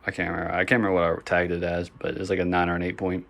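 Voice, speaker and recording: Hoarse low-voiced young man, rambling. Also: monotone, very fast, fairly bright tone. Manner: casual, swears often, lots of fillers. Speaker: gaming vlogger. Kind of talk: casual rambling vlog. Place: bedroom at home. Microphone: phone camera.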